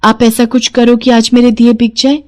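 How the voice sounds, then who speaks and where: An innocent little girl from a storybook, acted by a woman. The voice is high and childlike, with an earnest, pleading way of talking. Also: level tone, moderate pace, darkish tone, natural voice. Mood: sad, pleading.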